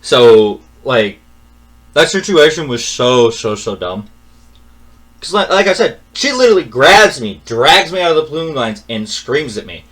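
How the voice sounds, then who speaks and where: An exasperated young man recounts a story in a loose, rambling rant. He repeats words, mutters low at times and then climbs high and loud when he gets worked up. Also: sing-song, measured pace. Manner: exasperated, repetitive, swings from mumbling to loud. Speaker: vlogger telling a personal story.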